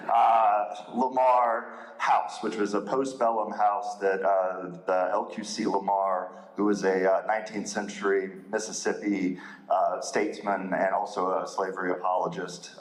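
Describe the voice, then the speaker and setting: A man in his thirties with a big voice, giving a casual, filler-heavy history lecture to a church audience. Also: low voice. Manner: conversational, many fillers, jokes with the audience. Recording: echoey hall.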